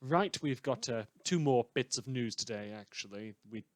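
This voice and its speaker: A man presents a radio programme in a polite, understated manner. His voice sits at a middling pitch, with small hesitant asides as he moves between news and letters. The sound is close and dry. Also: expressive, normal speed, no room sound. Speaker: radio show host.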